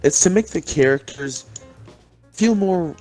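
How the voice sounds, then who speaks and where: A man's voice: on the high side, lively intonation, measured pace, a little mellow, soft background music. A man in his late teens co-hosting a podcast, talking casually and bluntly with teasing and swearing. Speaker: podcast co-host and amateur voice actor.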